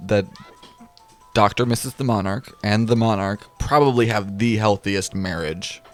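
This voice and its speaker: Low-voiced man chatting on a podcast. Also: normal speed, soft background music. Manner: enthusiastic, rambling, trails off and restarts. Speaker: podcast co-host. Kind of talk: casual chat.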